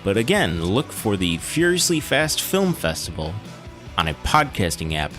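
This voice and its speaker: A man with a fairly low voice talks as a podcast host. He sounds conversational and wry, dropping jokes and the odd swear as he discusses films and show plans. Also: big pitch swings, moderate pace, music in the background. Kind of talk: casual film discussion and show announcements.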